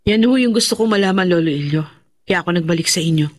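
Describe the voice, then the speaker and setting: A woman's voice: fairly low pitch, quick pace, dry recording, natural voice. A woman playing a determined, impatient young woman seeking power in a radio drama, respectful but headstrong.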